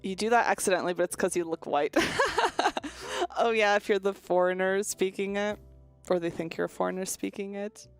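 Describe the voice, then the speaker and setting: A woman's voice: mid-range voice, fairly even intonation, fairly quick, smooth, soft background music. A young woman streaming, chatting casually and candidly with viewers, rambling with lots of "like" and "um" and some swearing. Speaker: VTuber streamer. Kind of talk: casual chat answering viewer questions.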